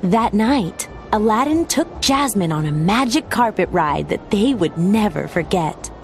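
A woman reading a children's storybook aloud as narrator, with expressive scripted storytelling delivery. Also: mid-range voice, unhurried. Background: background music.

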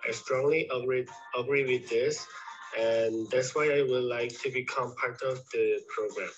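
A man's voice: medium pitch, fairly even intonation, unhurried, faint music behind, low-quality recording. An eighteen-year-old man from Korea giving a scripted student video message, stumbling a little. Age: teens.

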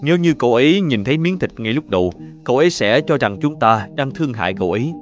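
A kindly older male eatery owner from an animated moral tale, played by a man. He speaks in a middle-pitched voice with a calm, reassuring manner. Light music sits underneath. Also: fast talker, lo-fi sound, lightly acted. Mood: thoughtful.

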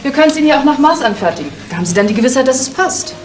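A woman's voice: high-pitched, lively intonation, racing, music in the background. An actress playing a polite, persuasive middle-aged saleswoman, businesslike and reassuring, in 1980s TV film style. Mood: helpful.

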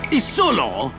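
A man's voice: extremely high pitch, gravelly, full character voice. Gloating lazy cartoon bad guy, super swoopy, music behind him. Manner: exaggerated, gloating, theatrical. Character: scheming, theatrical, lazy male cartoon villain. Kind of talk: cartoon dubbing in Spanish.

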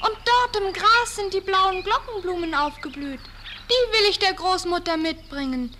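Very high-pitched innocent little girl. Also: moderate pace, noisy background. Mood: delighted. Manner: bright-eyed, earnest, naive delivery. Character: sweet, innocent, cheerful little fairy-tale girl. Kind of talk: fairy-tale audio play acting.

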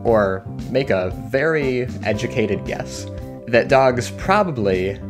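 A man speaking as a scientist guest, explaining research step by step in a measured way. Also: mid-range voice, animated. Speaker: dog cognition scientist, guest. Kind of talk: explanatory interview talk. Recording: guitar music behind the voice.

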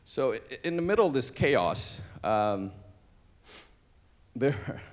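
A middle-aged man from Cambodia, a native Cambodian speaker, telling his life story on a church stage into a handheld mic, halting with many fillers and restarts. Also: mid-range voice, very animated. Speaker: interview guest. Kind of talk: personal testimony on stage.

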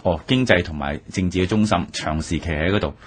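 Low, quick Hong Kong Cantonese man. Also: breathy edge. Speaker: radio programme co-host. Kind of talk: conversational commentary.

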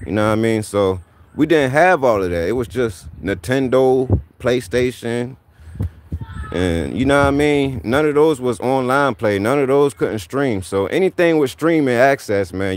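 A man's voice: fairly low pitch, slight background noise. A man in his thirties talking casually on an outdoor livestream, rambling and repeating "man" as he gives motivational advice. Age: 30s. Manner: rambling, repeats "man" often, laid-back preachy. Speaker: livestream host. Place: outdoors in a backyard driveway.

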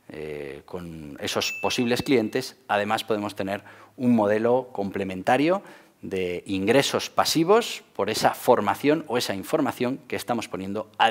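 A man, hosting an explainer video to camera from a studio desk. He gestures broadly and leans on fillers like "bueno, pues". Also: on the low side. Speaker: host of a channel on marketing for entrepreneurs. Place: indoor studio set with a porthole backdrop. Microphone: lapel mic, seated at a desk.

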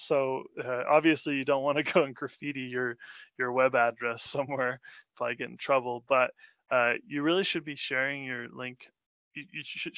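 A man from Denmark talks through a business webinar in a loose, unscripted way, stopping for fillers and starting his sentences over. His voice sits at an ordinary middle pitch. The sound is thin and phone-like, with no room echo at all. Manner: casual, rambling, with many fillers and restarts. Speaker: company president presenting a webinar. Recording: tinny phone-like audio, dry.

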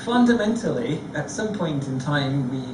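A man on a discussion panel, telling a lively, self-deprecating story. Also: on the high side, moderate pace, distant mic, background noise. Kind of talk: anecdotal storytelling. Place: large theatre stage before an audience. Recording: echoey hall recorded from afar.

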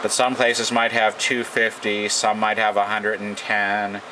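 An American man giving an informal hands-on demonstration, thinking aloud in a halting way at an indoor workbench. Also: lowish voice. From United States. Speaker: radio repair hobbyist. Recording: noisy room, steady background hum.

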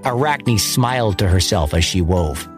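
Animated male storyteller over soft music. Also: sits low, a little slow. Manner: theatrical, emphatic. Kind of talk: dramatic scripted narration of a myth for children.

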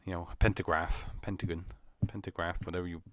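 A man with a slightly croaky voice, rambling and jumpy as he comments on a horror game. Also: deep voice, level tone, quick pace, no room sound, dull audio. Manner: rambling, jumpy, muttering to himself. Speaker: gaming channel host. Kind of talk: casual horror gameplay commentary.

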